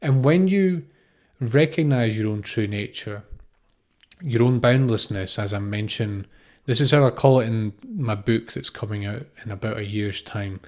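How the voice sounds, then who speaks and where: A man's voice: on the low side, unhurried, a little mellow. A man in his thirties from Scotland gives an unscripted, informal spiritual talk, explaining reflectively and often repeating phrases or posing questions. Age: 30s. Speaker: spiritual teacher, podcast host.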